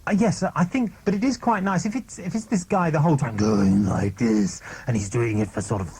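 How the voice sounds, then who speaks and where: Male actor in casual interview, mid-pitched, jokey and rambling, slight noise behind. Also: a little fast, darkish tone.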